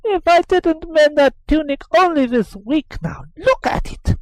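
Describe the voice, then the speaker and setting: A shrill, fussing old nursemaid from a storybook, forever scolding and crying out to her saints, as the reader puts her on. She swoops high and sharp, with a very animated rise and fall. The sound is dry and close. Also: a little slow, dry recording. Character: fussy superstitious old nursemaid woman. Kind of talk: audiobook character voice.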